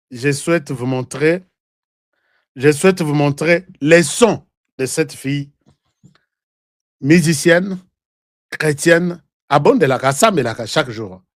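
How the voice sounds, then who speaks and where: A man's voice: fairly high pitch, animated, takes it slowly, long pauses, no echo, clean studio sound. A man with a Congolese French accent hosting a live online show, talking in heated, rambling political commentary and switching between languages.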